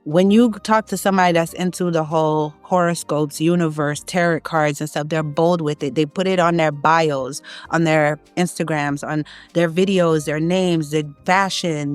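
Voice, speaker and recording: A woman from Haiti giving an emphatic, opinionated casual monologue, her voice climbing when she presses a point. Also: clean tone. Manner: emphatic, rising in pitch when making a point. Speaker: online content creator.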